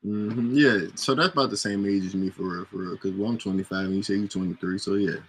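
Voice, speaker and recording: A man's voice: lowish voice, steady tone, fast, slight background noise. A man in casual chat with friends, laid-back, slangy and profane, often breaking into laughs. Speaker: host.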